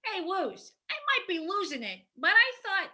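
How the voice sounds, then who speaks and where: Bright, high, dopey creature. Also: female voice, very animated, moderate pace, full character voice. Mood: puzzled. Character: excitable dim-witted Heffalump henchman creature. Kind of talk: livestreamed stage play reading.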